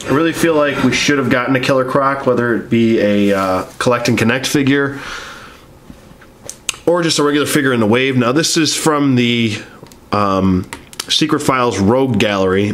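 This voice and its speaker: A man with a stuffy nose from being sick, chatting casually about his action figures in a rambling, hesitant way full of ums and uhs. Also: mid-range voice. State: sick and stuffy-nosed, sniffling. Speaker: toy collector reviewing action figures. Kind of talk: casual review chat to camera.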